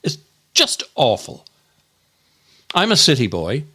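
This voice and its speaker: A man delivering a scripted comic monologue as a podcast host, in a grumpy, exasperated, mock-appalled and deliberate way. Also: medium pitch, wildly swooping pitch, pushed and bright, slightly rough.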